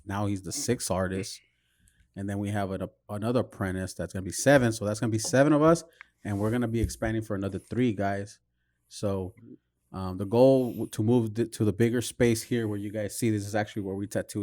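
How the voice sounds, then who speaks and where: A man's voice: fairly low pitch, darkish tone, dry recording. A man hosting a casual podcast, chatting informally and encouragingly. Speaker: podcast host and tattoo studio owner.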